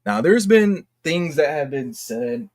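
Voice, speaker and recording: A man giving unscripted commentary as a YouTuber, talking in a halting, slangy, exclamatory way and often interrupting himself. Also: on the high side, animated, darkish tone. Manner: rambling, halting, self-interrupting. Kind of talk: unscripted casual commentary rant.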